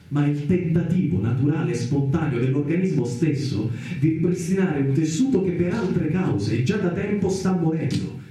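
An earnest male visionary scientist played by an actor lectures his colleagues in a radio play. His voice is mellow and mid-pitched, with declamatory, deliberate emphasis. It echoes heavily, as if heard far from the mic. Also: lightly acted. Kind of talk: radio theatre monologue, formal speech.

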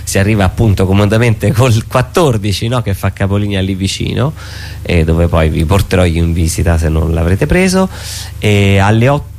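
Low-voiced man in radio interview. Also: darkish tone, slight background noise. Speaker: theatre performer, interview guest.

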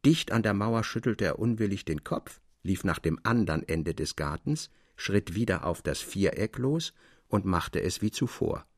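A man narrating a classic literary tale in a measured, articulate way with expressive emphasis. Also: deep voice, dry recording, studio quality.

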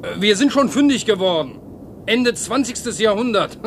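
A man in a radio play voicing an excitable, territorial scrap scavenger. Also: sits high, normal speed, a little hiss or hum. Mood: gleeful. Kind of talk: German radio play acting.

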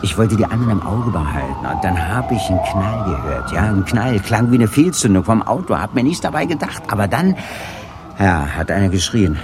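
Casting: defensive small-time snitch, hesitant, lively low-pitched delivery, radio play over clear street noise. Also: male voice, brisk. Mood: flustered, defensive. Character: flustered small-time male informant, deferential and defensive. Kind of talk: 1980s German radio play acting.

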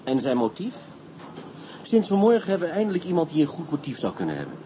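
An actor playing a skeptical, wry police inspector and doting husband in a radio play, teasing and conversational. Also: male voice, on the high side, huge pitch swings, fast, warm tone, slight background noise. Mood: wry, reasoning.

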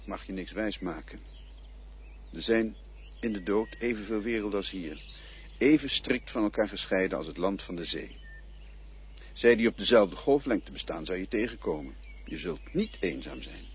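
A man playing a calm, cryptic male guide who speaks of the dead in a patient, lecturing way, in a radio play. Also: fairly low pitch, moderate pace, husky, full character voice. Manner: patient, lecturing, quietly mocking. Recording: dull lo-fi old radio recording.

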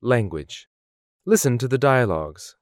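A man reading scripted English course dialogues in a slow, clearly articulated, measured way. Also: mid-range voice, animated, no room sound, clean studio sound. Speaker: language course voice actor.

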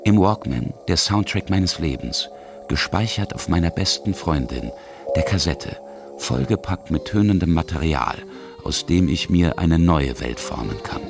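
A man's voice: sits low, lively intonation, a little slow, creaky, music in the background. A middle-aged man from Bavaria reading scripted narration for a radio documentary. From Bavaria, Germany. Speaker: radio feature author and reporter.

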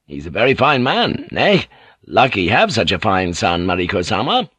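A foreign sailor groping through polite, broken phrases, as a male narrator voices him. The voice is low and courteous. The sound is dry and close. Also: huge pitch swings, sharp tone, slightly rough, dry recording, exaggerated voice. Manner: halting, polite broken speech. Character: English sailor speaking halting broken Japanese.

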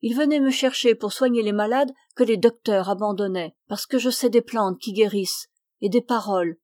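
A woman voicing an old peasant servant woman who is wary and talkative, with loud, quick, rustic speech. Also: medium pitch, no echo, clean studio sound, natural voice. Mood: garrulous.

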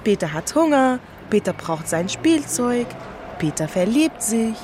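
A woman's voice: a little high, big pitch swings, measured pace, noisy background. A woman acting in a radio drama as a hard-nosed, guarded, teasing woman with a blunt manner. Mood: mocking, tender. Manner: blunt, switches into English phrases.

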